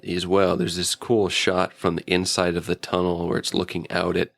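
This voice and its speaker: A man's voice: low voice, monotone, moderate pace, gravelly. A man chatting casually as a guest reviewer, with an informal, enthusiastic fan's way of talking. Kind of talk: casual episode review chat.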